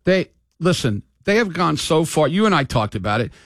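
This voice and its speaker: A man's voice: on the high side, very animated, moderate pace, fairly bright tone, no echo, studio quality. An older man hosting sports talk radio, rambling and opinionated in a casual way.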